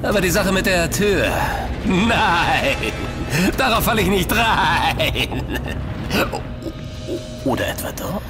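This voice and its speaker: An actor playing a mad, taunting supervillain in an audio drama, with a theatrical, drawn-out delivery. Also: male voice, sits high, expressive, music in the background. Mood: mock suspicion. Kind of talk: German audio drama acting.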